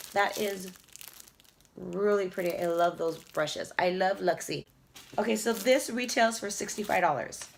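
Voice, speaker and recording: A woman beauty vlogger chatting casually through an unboxing, hoarse from a lingering cough, rambling fondly about each item. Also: on the low side, fairly bright tone, a little hiss or hum. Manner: chatty, fond, rambling product talk with fillers. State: hoarse, losing her voice from a cough.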